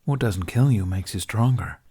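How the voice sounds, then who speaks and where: A man's voice: lowish voice, normal speed, warm tone, husky. A man voicing a male dragon companion, smug, teasing and protective, with a dry, confident delivery, in a fantasy audiobook.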